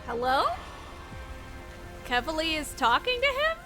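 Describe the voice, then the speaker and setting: A woman streamer giving casual, excitable live reactions to a game in questioning exclamations. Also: high-pitched, unhurried, over music.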